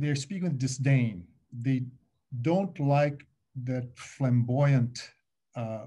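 Middle-aged man, warm, mellow tone, loose panel-talk delivery full of "you know", webcam audio. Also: mid-range voice. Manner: animated, gesturing, restarts and many fillers. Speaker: alumni panelist. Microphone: laptop webcam on a video call.

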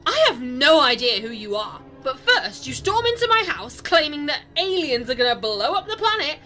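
A woman's voice: high voice, big pitch swings, moderate pace, pushed and bright, exaggerated voice. A woman with a British accent playing a sceptical, bewildered ordinary young woman in an audio drama, wry and colloquial. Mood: incredulous. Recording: music under the voice.

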